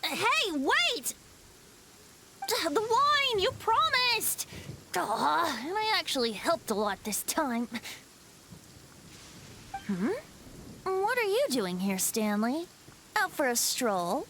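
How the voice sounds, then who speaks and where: A woman's voice: very high voice, big pitch swings, slow, long pauses, sharp tone, a little hiss or hum, full character voice. A woman voicing a boyish young male bard in a video game, playful, sly and theatrically teasing. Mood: playful pleading. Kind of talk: animated video game voice acting.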